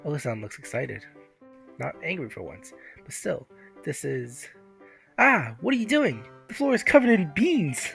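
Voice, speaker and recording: A man voicing an earnest, excitable teenage schoolgirl in a visual novel, with wry inner monologue over music. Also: on the high side, measured pace, gravelly, full character voice. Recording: music behind the voice.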